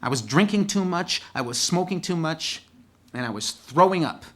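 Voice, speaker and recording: A man's voice: fairly high pitch, lively intonation, normal speed. Candid man telling a personal story. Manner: candid, conversational, builds rhythm with repeated lists.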